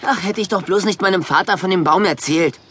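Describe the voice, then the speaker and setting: A woman's voice: lowish voice, a little fast, a bit bright, husky. A woman playing a young, stubborn little dragon boy in a children's cartoon audio drama, childlike and spirited. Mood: regretful.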